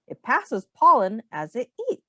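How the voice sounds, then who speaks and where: Lady teacher doing a kids read-aloud, high voice that swings up and down loads, asks questions. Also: dry recording. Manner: deliberate, expressive, pausing and prompting young listeners with questions. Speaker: teacher reading aloud to young children. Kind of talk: children's picture book read-aloud with questions to listeners.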